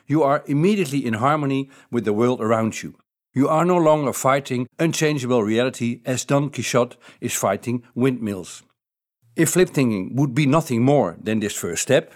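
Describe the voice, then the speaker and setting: A man from the Netherlands with a Dutch accent, an author calmly presenting the key insights of his book in a measured, explanatory way. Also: mid-range voice. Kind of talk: reading his own book's ideas aloud.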